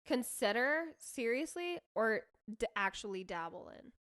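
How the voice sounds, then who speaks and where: A young woman chatting casually with friends as a podcast co-host, giggly and animated. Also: on the high side, measured pace, no echo, clean studio sound. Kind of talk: casual chat among friends.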